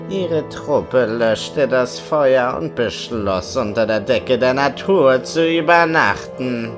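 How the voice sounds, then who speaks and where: A man playing a mischievous trickster creature, telling a spooky campfire tale in a theatrical, suspenseful audio-play style. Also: medium pitch. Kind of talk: scripted dramatic storytelling, audio play. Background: background music.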